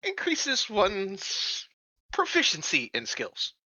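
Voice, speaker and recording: Halting, bright-voiced man, lively pitch. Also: no room sound, muffled recording. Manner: halting, stammering, repeats first syllables. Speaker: tabletop roleplaying game player. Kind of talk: improvised in-character tabletop roleplay.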